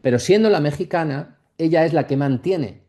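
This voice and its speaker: A man giving an academic lecture off the cuff, reflective and halting, often checking in with short question tags. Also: on the high side, warm tone. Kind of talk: masterclass lecture, speaking off the cuff.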